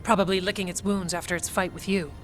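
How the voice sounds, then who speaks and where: An actress playing a frank, weary warrior officer in a video game, speaking in a plain, businesslike way. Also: female voice, on the low side, steady tone, fast talker, natural voice. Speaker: Warden officer giving battle briefing. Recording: music behind the voice.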